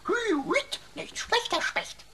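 High-pitched, cheeky talking pocket computer. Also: female voice, expressive, slow, fairly bright tone, full character voice. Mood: lecturing. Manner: mocking, long-winded, declaiming with mock pomp. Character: cheeky male talking pocket computer, verbose know-it-all. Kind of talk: German radio play acting.

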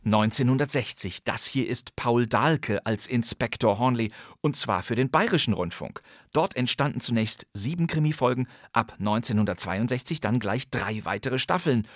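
A man's voice: mid-range voice, moderate pace, hardly any pauses, no echo, studio quality. A man presenting a scripted but conversational introduction as a podcast host, playfully addressing his listeners directly.

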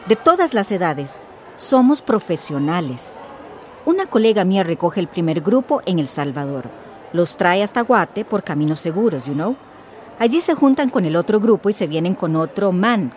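A woman's voice: mid-range voice, darkish tone, a little hiss or hum, natural voice. An actress playing a slick, impatient female smuggler in a radio drama, slangy and mixing English words into her Spanish.

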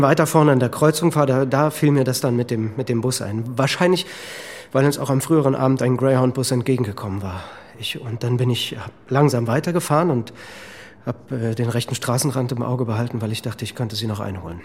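An actor playing an anxious, worn-out husband with a drinking habit, answering questions in a halting, defensive way in a radio drama. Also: male voice, medium pitch, natural voice. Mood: agitated, insistent. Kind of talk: naturalistic radio drama acting.

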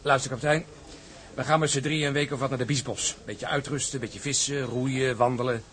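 An actor playing a hearty, loyal and blunt ship's mate in a Dutch radio drama. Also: male voice, medium pitch, fairly even intonation, fairly quick, fairly bright tone, close to an everyday voice. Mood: cheerful, coaxing.

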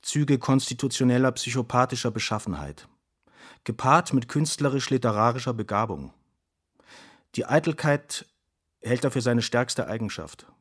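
A man's voice: medium pitch, normal speed, slightly rough, natural voice. Radio play: male actor as clinical doctor, mid-range voice, official and matter-of-fact report delivery. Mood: clinical, detached. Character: male doctor, clinical, formal, detached examiner.

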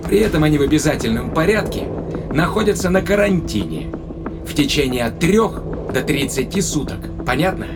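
A man's voice: on the high side, very animated, brisk. An actor playing a guarded, rational male spaceship captain in an audio play, questioning and explaining. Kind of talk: dramatic audio play acting. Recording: voice under loud music.

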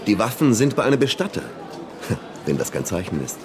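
An actor playing a flamboyant, impulsive surrealist poet in a radio play, talking theatrically and urging others on. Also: male voice, lowish voice, very animated, fairly quick, a crowd in the background. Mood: grandiose, excited.

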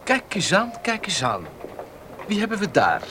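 An actor playing a flippant, needling young gentleman with a theatrical, jesting manner in a Dutch radio play. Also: high voice, huge pitch swings, measured pace, full character voice. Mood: mock solemn. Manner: feigned jest, needling, theatrical. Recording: noisy radio drama recording with ambience.

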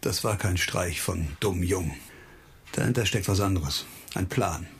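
A man playing a stubborn, wry police investigator in a radio crime drama, speaking in a deliberate, insistent and sardonic manner. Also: deep voice. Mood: determined.

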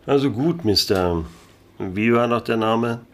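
A man's voice: medium pitch, unhurried, lightly acted. A man playing a wry, hard-boiled private detective in a noir radio play, with a dry, sardonic delivery. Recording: music under the voice. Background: music.

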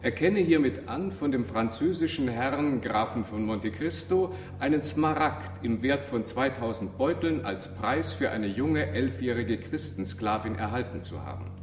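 An actor voicing a slave merchant's written deed with formal, solemn declamation in a radio drama. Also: male voice, mid-range voice, expressive, unhurried, hardly any pauses, a little hiss or hum, natural voice. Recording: distant echoey hall, music behind.

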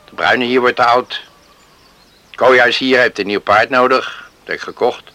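An actor playing a gruff, reserved older man who is uneasy with emotion, in a Dutch radio drama. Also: mid-range voice, fairly even intonation, normal speed, bright, forceful tone, no room sound, lightly acted.

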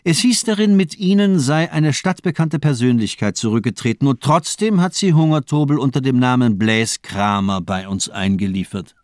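A man's voice: mid-range voice, moderate pace, few pauses, darkish tone, no room sound, clean studio sound, close to an everyday voice. An actor playing a sinister, cultivated doctor in a radio crime drama, eloquent and mockingly polite as he toys with his captive. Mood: probing, superior.

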